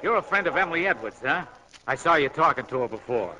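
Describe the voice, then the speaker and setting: A man playing a pushy, flattering song plugger, slangy and effusive, in 1940s radio acting style. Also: on the high side, lightly acted, poor audio. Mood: eager, ingratiating. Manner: fast-talking hustler, slangy and effusive.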